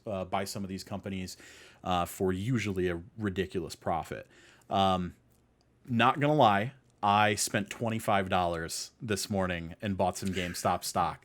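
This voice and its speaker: A man with a fairly low voice hosts a casual podcast. He speaks conversationally and repeats key points to drive them home. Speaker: podcast host.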